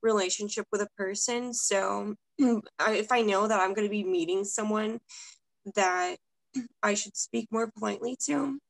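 A woman's voice: mid-range voice, moderate pace, gravelly, no echo, low-quality recording. A young woman from Russia, a guest talking casually over a video call from home, with many filler words. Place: home room, indoors.

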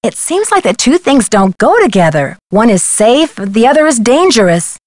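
A woman's voice: fairly high pitch, very animated, normal speed, darkish tone, dry recording, studio quality. An actress playing an earnest, inquisitive young female detective in a video game. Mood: concerned. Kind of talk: scripted video game dialogue.

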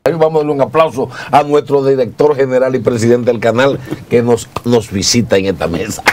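A man's voice: mid-range voice, fairly even intonation, fairly quick, a little mellow. A Dominican man in his sixties hosting a TV political panel, making his points emphatically. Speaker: TV talk show host. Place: TV studio.

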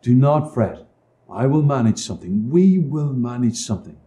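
A man voicing a brave, gentle little boy in a storybook reading, with a reassuring manner. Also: mid-range voice, expressive, moderate pace, reverberant, close to an everyday voice. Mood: soothing.

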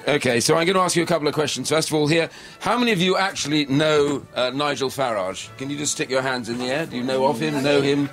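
A man hosting a radio show, greeting a packed studio with brisk, repetitive questions and chuckling asides. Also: a little high, a bit bright, faint music behind. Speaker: radio host. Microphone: studio microphone with headphones.

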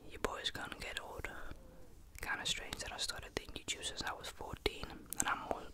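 A teenage man, whispering a rambling, casual chat into a close studio mic in a small bedroom. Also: moderate pace. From Netherlands. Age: teens. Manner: casual, rambling, with many fillers. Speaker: ASMR YouTuber. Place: small bedroom with a sloped ceiling. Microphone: large studio mic close on the desk.

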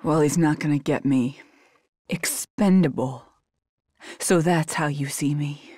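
A woman's voice: deep voice, takes it slowly, darkish tone, slightly rough, no echo, clean studio sound, heavily acted. An actress playing a tough, determined young woman agent in a survival horror video game, speaking in terse, guarded bursts. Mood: grim, resolute.

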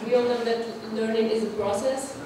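A woman's voice: fairly high pitch, fairly even intonation, moderate pace, background noise. A woman at a workshop, reporting her group's ideas with many fillers and restarts, in a large conference room. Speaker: workshop participant. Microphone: far room mic. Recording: echoey hall, far from the mic.

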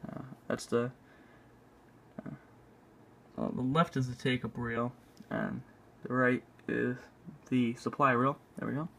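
Gravelly-voiced man, halting tutorial. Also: medium pitch, level tone, a bit bright, a little hiss or hum. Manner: halting, unscripted, thinking aloud. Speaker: video maker demonstrating a repair. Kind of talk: casual hands-on tutorial narration. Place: indoors at a tabletop.

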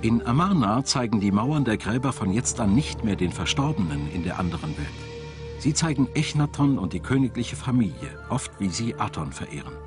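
Low, lively documentary narrator, male. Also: brisk. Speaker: documentary narrator and voice-over translator. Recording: music behind the voice. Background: background music.

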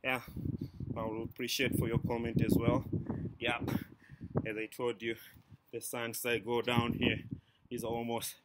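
Tanzanian man, slow unhurried talk, fitness vlog, backyard phone mic, heavy outdoor noise. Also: mid-range voice, completely flat delivery. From Tanzania, Zanzibar. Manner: short counted cues between breaths during exercise. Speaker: fitness vlogger. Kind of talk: workout coaching and chat to camera. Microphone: camera mic, phone up close for the talk.

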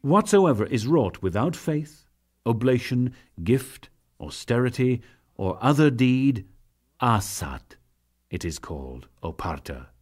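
Guy doing a dramatic scripture reading, really slow and solemn, lots of up and down in his pitch, formal delivery. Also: very animated, darkish tone, breathy edge. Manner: solemn, measured, formal declamation. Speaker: narrator.

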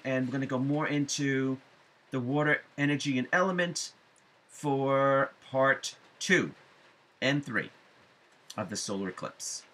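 A middle-aged man giving a spiritual forecast to viewers, speaking deliberately with emphatic phrasing and frequent pauses. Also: medium pitch, pushed and bright. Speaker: astrology forecaster and psychic medium. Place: small room at home, indoors.

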